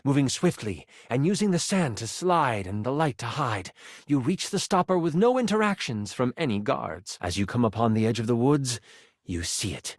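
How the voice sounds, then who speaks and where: A man's voice: mid-range voice, no room sound, studio quality, natural voice. An actor playing an enthusiastic, theatrical male game master who narrates a fantasy tabletop adventure in a video game. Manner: expressive, theatrical storyteller.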